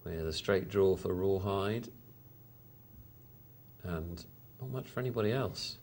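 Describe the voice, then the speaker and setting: A man's voice: low voice, measured pace, darkish tone, slight background noise. A man hosting a live poker show, commenting on hands in a chatty, hesitant way with lots of fillers. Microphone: studio mic.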